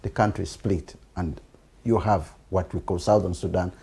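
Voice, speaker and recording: A man with a Ugandan accent hosting a political interview, speaking conversationally and haltingly, repeating words as he makes his point. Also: deep voice, steady tone, warm tone, husky. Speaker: TV programme host.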